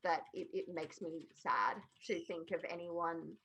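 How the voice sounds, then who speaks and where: A woman's voice: lowish voice, steady tone, unhurried, creaky, bright, forceful tone, breathy edge, low-quality recording. A woman on a podcast panel giving blunt, emphatic opinions in casual conversation.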